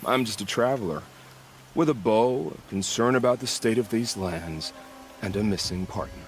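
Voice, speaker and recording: An actor playing a wry, philosophical, bloodthirsty bandit hunter in a video game, with a sardonic, musing, theatrical delivery. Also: male voice, lowish voice, lively intonation, moderate pace, a little hiss or hum. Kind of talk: video game dialogue acting.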